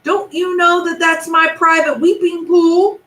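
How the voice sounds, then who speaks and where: A woman's voice: very high-pitched, level tone, unhurried, a bit bright, recorded from a distance, voice pushed up. A woman voicing a vain, weepy old male rhinoceros in a children's story, wailing about his looks. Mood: angry. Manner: wails and frets, theatrical. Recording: echoey room.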